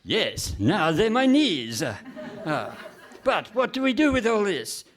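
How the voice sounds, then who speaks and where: A man playing a feeble, whining, excitable villain's sidekick in a comic radio play. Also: sits high, unhurried. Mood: whining, gleeful. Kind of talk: Goon Show style radio comedy acting. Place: live performance on stage in a hall.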